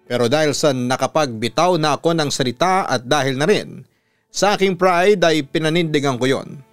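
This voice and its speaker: A Filipino man narrates a personal story in the style of a radio announcer. His voice sits a little high and is clean and resonant, with a measured, earnest delivery. The recording is dry, with faint music underneath. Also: dry recording. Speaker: radio announcer reading listener letters. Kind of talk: scripted dramatic first-person story narration.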